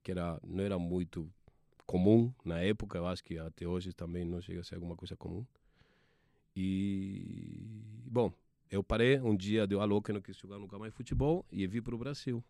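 A man in his forties from Argentina, speaking with an Argentine Spanish accent, a TV sports producer telling his career story in halting speech with many restarts. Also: sits low, vocal fry, warm tone, dry recording, clean studio sound. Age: 40s.

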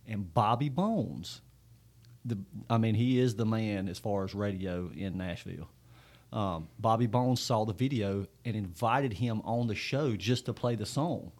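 A man's voice: lowish voice, measured pace, a little mellow. A man hosting a podcast, telling a story in a chatty, loose way with lots of fillers. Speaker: podcast host. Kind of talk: casual podcast conversation.

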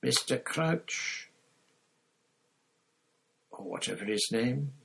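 A man's voice: medium pitch, lively intonation, very slow, gravelly, fairly bright tone, breathy edge, voice pushed up, exaggerated voice. A man voicing a dazed young foreign student champion, muttering in an aggrieved way in a storybook reading.

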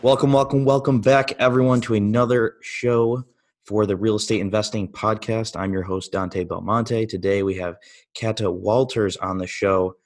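A man with a very low voice, hosting a podcast interview. He greets his guest and puts his questions, dropping short affirming replies while she talks. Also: steady tone, moderate pace. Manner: brief encouraging interjections. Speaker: podcast host.

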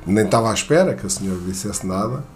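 A man from Portugal with a European Portuguese accent, telling a personal anecdote in a halting way, with many fillers and restarts. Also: fairly low pitch, animated, soft background music.

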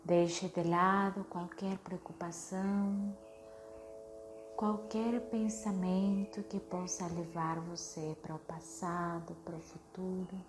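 A woman leading a guided meditation in a soothing, unhurried way, with short phrases and long pauses. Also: on the low side, steady tone, background noise. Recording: music behind the voice. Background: meditation music.

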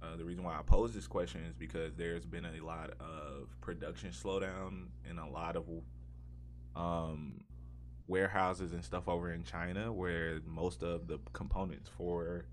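A man's voice: deep voice, flat delivery, unhurried, a little hiss or hum. A man hosting a casual gaming podcast, talking in a rambling way as he thinks aloud. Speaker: podcast host.

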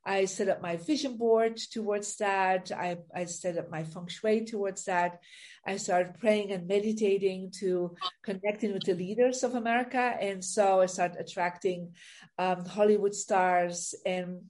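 A woman's voice: medium pitch, fairly even intonation, a little slow, a little mellow. A middle-aged woman from Belgium with a Belgian accent, telling her story as a guest in a casual interview, with light laughs. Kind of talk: interview on a video call.

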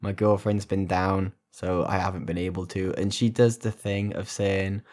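A man's voice: low voice, little pitch movement, moderate pace, a little mellow. A man co-hosting a casual podcast, telling laid-back stories and swearing freely. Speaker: podcast co-host.

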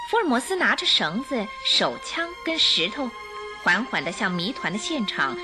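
Female radio drama narrator, mid-pitched, expressive and animated storytelling. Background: music.

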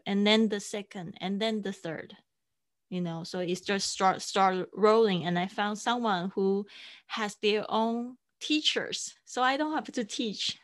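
A woman whose first language is Mandarin, speaking English as a podcast guest in an unhurried way, with many fillers and restarts. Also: mid-range voice, gravelly. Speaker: guest, online teacher and podcaster. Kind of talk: casual interview conversation.

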